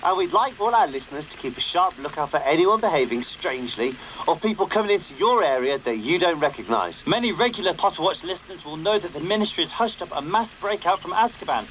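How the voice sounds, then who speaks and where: An actor playing a cheeky young rebel radio host, broadcasting upbeat but wary patter over a noisy signal. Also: male voice, a little high, lively intonation, fast talker, sharp tone. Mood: urgent, rallying.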